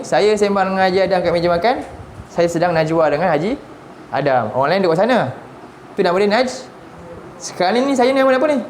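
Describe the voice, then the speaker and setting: A Malaysian man in his forties teaching a Quran lesson, constantly asking his listeners rhetorical questions. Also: high voice. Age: 40s. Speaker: religious teacher. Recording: roomy with faint background noise.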